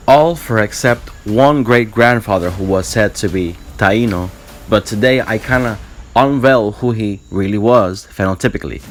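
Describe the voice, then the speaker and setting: A man's voice: lowish voice, slight background noise. A man from Puerto Rico talking to camera in an earnest, explanatory way, reading prepared lines for his vlog. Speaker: vlogger. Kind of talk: scripted talk to camera.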